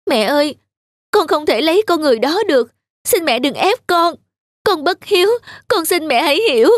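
A woman voicing a devoted young village woman in an animated folk tale, speaking in an earnest, pleading manner. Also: high voice, normal speed, no room sound, studio quality. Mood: tearful pleading.

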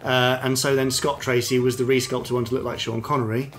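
Chatty podcast co-host, faint music. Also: male voice, mid-range voice, little pitch movement. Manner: chatty, self-mocking, quick to laugh. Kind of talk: casual chat.

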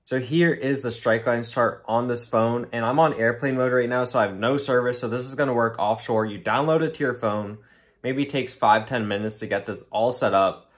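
A man's voice: medium pitch, moderate pace, dry recording, clean studio sound. A young man hosting a fishing vlog, chatting upbeat about his gear and spots from an open boat. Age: young adult. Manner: upbeat and chatty, talking through tackle and gear. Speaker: fishing channel host. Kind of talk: casual fishing vlog narration. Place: open boat on the sea and a room indoors.

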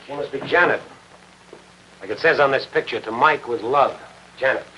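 A hard-boiled American newspaperman played by a male actor, blunt and sardonic, with a mid-pitched voice and clipped phrases. It sounds like 1950s TV drama on a noisy old soundtrack. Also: moderate pace, pushed and bright, lightly acted. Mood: probing.

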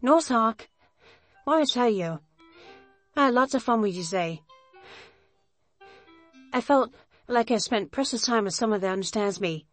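Woman playing a sweet, high-voiced anime schoolgirl. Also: animated, measured pace, long pauses, faint music behind. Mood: affectionate. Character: sweet, friendly schoolgirl chess partner. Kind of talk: anime visual novel character acting.

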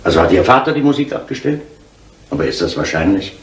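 An actor playing a matter-of-fact police inspector who questions witnesses in a German radio crime drama. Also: male voice, low voice, big pitch swings, fast talker. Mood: probing. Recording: roomy, faintly noisy 1970s radio play.

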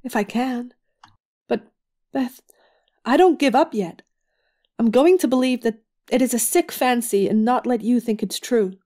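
An actress playing a stubborn, devoted young sister in an audio drama, earnest and insistent. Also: female voice, a little high, a little slow, pauses often, mellow, close to an everyday voice. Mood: determined, anxious.

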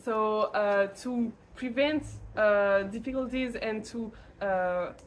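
A young French woman, a native French speaker, giving a casual testimonial to camera in English, halting and searching for words. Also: mid-range voice, slight background noise. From France. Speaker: lay missionary teacher.

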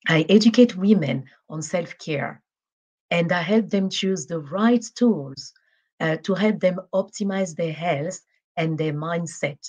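Middle-aged woman from Cameroon, English; low-pitched, warm, searches for words; webcam call. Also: a little slow. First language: French. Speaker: guest. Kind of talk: casual video call interview. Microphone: video call webcam.

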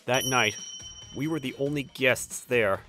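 A man's voice: medium pitch, unhurried, music in the background, close to an everyday voice. A young man playing a mild, sensible but easily spooked college student in a manga dub. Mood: calm narrating. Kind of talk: manga dub narration and dialogue.

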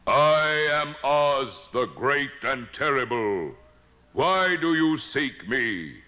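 A man's voice: mid-range voice, big pitch swings, a little slow, sharp tone, no echo, lo-fi sound. A man voicing a little old humbug wizard, pompous yet meek, speaking with stretched-out words in a storybook. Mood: grandly solemn.

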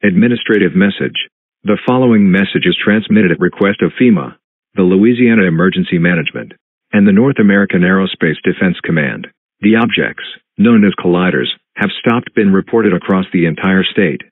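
A low, husky synthetic man's voice delivers a formal emergency broadcast announcement. The delivery is flat and mechanical, with a robotic quality. It sounds dull and lo-fi, like a muffled TV alert. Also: a little fast, no echo.

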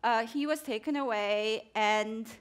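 A woman's voice: on the high side, measured pace, clean tone. Korean-accented woman, 40s, onstage interview guest, earnest, headset mic. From South Korea. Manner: earnest, reflective, gesturing with her hands. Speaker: journalist guest. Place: theater stage before a live audience.

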